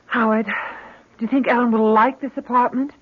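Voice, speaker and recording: A woman playing a caring, anxious middle-aged wife and mother in a 1970s radio drama, fussing and gently chiding. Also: a little high, steady tone, moderate pace, lightly acted. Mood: tender, worried. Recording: muffled 1970s radio recording.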